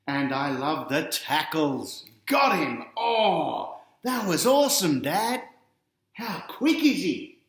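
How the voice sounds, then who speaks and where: A man acts out an excited Australian boy from a children's storybook, cheering at the footy. The voice is pushed up high and exclaims in wide-eyed awe, with an echoey room around it. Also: slow, exaggerated voice. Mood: excited, awed. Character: excited young footy-fan boy at the game.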